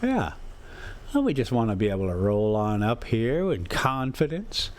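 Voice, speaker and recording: A man's voice: medium pitch, big pitch swings, moderate pace. An American man chatting casually as a travel vlogger on a drive, rambling in a folksy, teasing, sarcastic way. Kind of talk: casual rambling chat and ranting.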